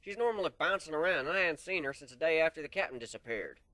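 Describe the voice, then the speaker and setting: Brief: brash pony soldier, man voicing, cocky and loud, dry close sound. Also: a little high, animated, fast, no room sound, rough recording. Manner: loud, cocky, folksy slang. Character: brash swaggering earth-pony stallion soldier.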